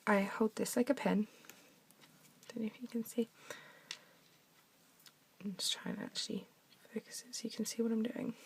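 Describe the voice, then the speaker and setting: A young woman with a croaky voice from a cough, chatting through a henna craft demonstration at her desk at home. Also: a little high, fairly even intonation, moderate pace, long pauses, whispered. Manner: chatty, talks while drawing, drops to soft mutters. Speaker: craft tutorial maker.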